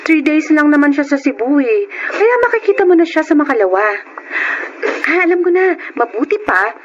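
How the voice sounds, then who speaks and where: A woman playing a gentle young nanny, caring and respectful, in a radio drama. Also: high-pitched, moderate pace. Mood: soothing, coaxing. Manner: soothing, animated coaxing. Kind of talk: radio drama acting and first-person narration. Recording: dull, noisy radio drama recording.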